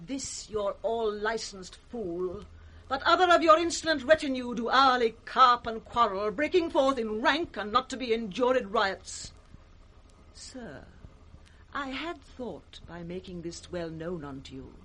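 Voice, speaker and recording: Haughty, highish-voiced princess. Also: female voice, fairly bright tone, full character voice. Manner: formal, measured, imperious. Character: cold, haughty royal daughter rebuking her father. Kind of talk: 1960s British radio Shakespeare acting. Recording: vintage 1960s radio drama recording.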